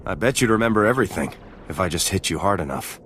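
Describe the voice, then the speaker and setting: An actor playing a ruthless, sardonic sergeant in a video game, curt and threatening. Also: male voice, sits low, animated, fast talker, a bit bright, slight background noise. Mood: menacing. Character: menacing, ruthless male soldier, a sergeant in a space army. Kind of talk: video game cutscene acting.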